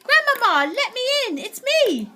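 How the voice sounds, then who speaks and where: A woman voicing an excited small boy in a storybook, high and eager. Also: fairly quick, a little hiss or hum.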